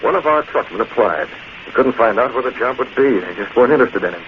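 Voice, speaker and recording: On a tinny old radio recording, an actor plays an authoritative male newspaper chief. He talks fast and with animation, explaining things firmly and shrewdly in 1940s drama fashion. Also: medium pitch, animated, noisy background, natural voice. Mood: shrewd, urgent. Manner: firm, brisk, explanatory. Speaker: newspaper executive.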